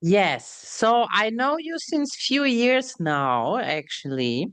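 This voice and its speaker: Brief: woman podcast host, smooth clear voice, slow deliberate pace, complimentary interviewer. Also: medium pitch, very animated, a bit bright.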